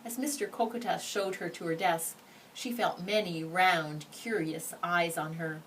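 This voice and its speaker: A slightly low-voiced woman reads a picture book aloud in an expressive storytelling style. She sits back from the microphone, with faint noise around her. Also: a little slow. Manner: expressive, acts out the dialogue. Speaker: story reader. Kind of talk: read-aloud of a children's picture book with brief asides. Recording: distant microphone, background noise.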